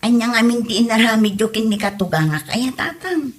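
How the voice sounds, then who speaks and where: An exasperated Ilocano daughter played by a woman in a comedy drama. Her voice is slightly high, and she questions and scolds her elders in a Filipino accent. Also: lively intonation, brisk, natural voice. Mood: shocked, reproachful. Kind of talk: comedy radio drama acting.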